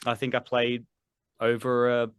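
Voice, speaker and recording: A man's voice: medium pitch, monotone, unhurried, clear, resonant tone, no echo. Rambling man, dry close sound. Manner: rambling, filler-heavy, swears casually. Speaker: podcast guest, metal vocalist. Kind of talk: casual interview chat.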